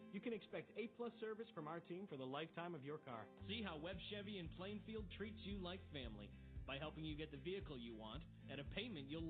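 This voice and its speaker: A man reading a scripted car dealership commercial as the announcer. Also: on the high side. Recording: tinny phone-like audio, music behind.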